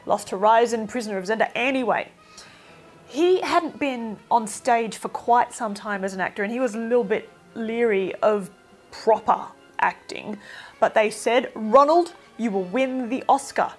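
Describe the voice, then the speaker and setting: A woman's voice: fairly high pitch, normal speed, soft background music. A woman giving an informal, opinionated film review in a chatty, emphatic way.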